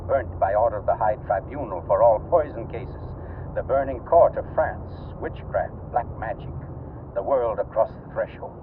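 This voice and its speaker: An actor playing an urbane, condescending older author turned detective in a vintage 1940s radio drama, speaking with theatrical deliberation. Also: male voice, fairly low pitch, moderate pace, recorded from a distance, lightly acted. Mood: knowing, theatrical. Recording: tinny, noisy vintage radio through car speakers. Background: car ambience.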